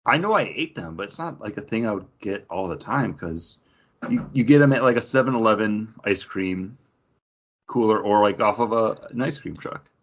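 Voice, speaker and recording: A man's voice: lowish voice, animated, normal speed. A man co-hosting a podcast, chatting casually and informally. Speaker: podcast co-host. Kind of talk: casual chat about comics and craft beer. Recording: slightly dull, lo-fi podcast audio.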